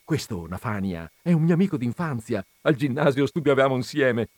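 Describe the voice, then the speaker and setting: A thin, chattering fellow from a literary tale, played by a man reading aloud. He gushes and repeats himself in excited exclamations, his pitch swooping upward. The sound is close and dry. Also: normal speed, dry recording, close to an everyday voice. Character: thin man, effusive, chattering, flustered old school friend. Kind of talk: dramatized reading of a literary short story.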